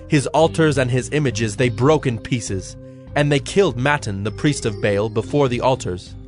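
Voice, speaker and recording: A man's voice: medium pitch, normal speed. Solemn male scripture narrator over faint music.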